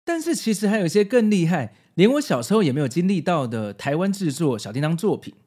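Podcast host: man, Taiwanese Mandarin accent, slightly high voice, highly expressive intonation, scripted narration. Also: fast, slightly rough.

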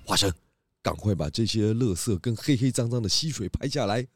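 A man's voice: lowish voice, lively intonation, fairly quick, over music, close to an everyday voice. A man playing a clever pig detective. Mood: calm, instructive. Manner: patient, coaxing and instructive. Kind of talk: children's radio drama acting.

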